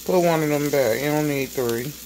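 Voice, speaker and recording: A woman filming her child cooking in a small home kitchen, chatty and coaching, gently correcting as she goes, recorded on a phone. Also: low voice, normal speed, slight background noise, low-quality recording. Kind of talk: casual home cooking vlog. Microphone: phone camera held nearby.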